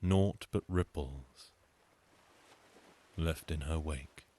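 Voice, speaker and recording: A man telling a folktale as a storyteller, with a measured, dramatic and lyrical delivery. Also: rumbling low, mellow. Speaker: storyteller and folk singer. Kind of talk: theatrical folktale storytelling to an audience. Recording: music behind the voice. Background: acoustic guitar music.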